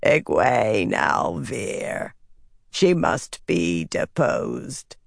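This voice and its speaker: An audiobook narrator voicing a menacing, commanding female servant of evil with a slow, rasping, growling hiss. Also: medium pitch, big pitch swings, no room sound, clean studio sound, voice pushed down, full character voice. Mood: scornful, demanding. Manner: slow, imperious, curt. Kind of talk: audiobook character voice.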